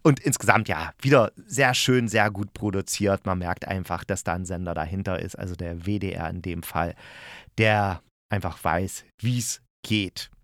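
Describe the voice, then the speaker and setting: A man's voice: low voice, fairly even intonation, normal speed, a bit bright, no room sound, clean studio sound. A man hosting a review show, speaking casually and chattily to listeners, thinking aloud and correcting himself as he goes. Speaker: host of an audio drama review show.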